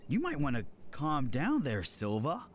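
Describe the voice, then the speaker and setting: A man's voice: on the high side, huge pitch swings, unhurried, slight background noise. A man acting in an audio drama as a smug, mocking soldier who goads with sarcasm. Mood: mocking, goading. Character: mocking male soldier, smug taunting sidekick.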